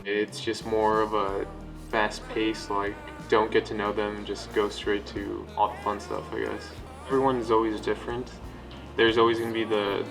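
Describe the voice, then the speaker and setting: A man in his twenties being interviewed, hesitant and soft-spoken, often hedging with "I guess". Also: fairly low pitch, creaky. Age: 20s. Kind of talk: documentary interview.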